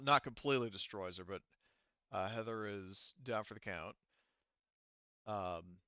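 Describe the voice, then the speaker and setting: A man's voice: lowish voice, a bit bright, clear, resonant tone, no echo, dull audio. A man acting as game master of a tabletop roleplaying game, thinking aloud hesitantly as he works through the rules.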